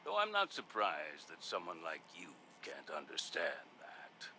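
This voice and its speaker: An actor playing a menacing, arrogant mastermind in a video game, theatrical, deliberate and condescending. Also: male voice, sits low, huge pitch swings, sharp tone, slight background noise, exaggerated voice. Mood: smug, contemptuous. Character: menacing, arrogant male arms mastermind, commanding and contemptuous. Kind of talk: video game cutscene acting.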